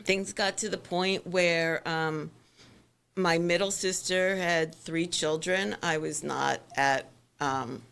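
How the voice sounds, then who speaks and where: A middle-aged woman from South Florida with a Florida accent and a New York twang, candidly telling her story as a podcast guest, halting with many ums. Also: fairly low pitch, steady tone, gravelly, a bit bright, smooth.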